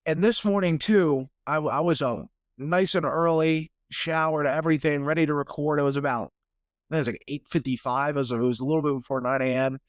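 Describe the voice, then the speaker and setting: A man's voice: on the high side, steady tone, brisk, no echo, studio quality. A young man with a stuffy nose hosting a solo sports podcast in a casual, rambling way, often repeating words as he restarts. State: stuffy from sinuses. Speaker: podcast host.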